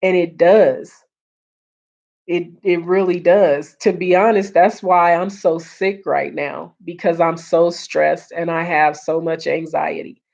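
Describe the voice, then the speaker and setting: Hoarse woman chatting on a lo-fi live stream. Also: on the low side, fairly even intonation, slow pace, a little mellow. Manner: earnest, repeats points, greets chat viewers by name. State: hoarse, losing her voice. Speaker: livestream host. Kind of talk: casual live-stream chat. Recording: dull lo-fi stream audio.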